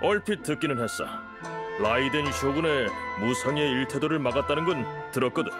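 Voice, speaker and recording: A man's voice: mid-range voice, fairly quick, natural voice. A man voicing an easygoing sailor in a video game, talking in a casual, conversational way. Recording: loud music over the voice.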